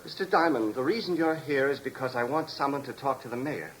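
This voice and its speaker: An actor playing a deranged escaped convict, calm, polite and coldly menacing, in the style of a 1950s radio drama. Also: male voice, medium pitch, fairly quick, lightly acted. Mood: calmly menacing. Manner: polite, composed, coldly precise. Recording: noisy vintage radio recording.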